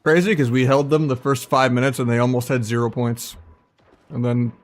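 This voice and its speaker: A man's voice: mid-range voice, fairly even intonation, moderate pace. A man giving casual, sardonic commentary on his own ranked match, muttering and swearing freely. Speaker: game streamer.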